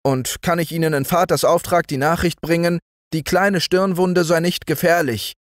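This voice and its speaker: A man reading a story aloud and voicing an earnest, eager young boy in a high boyish voice. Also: fairly even intonation, moderate pace, no echo, clean studio sound, close to an everyday voice.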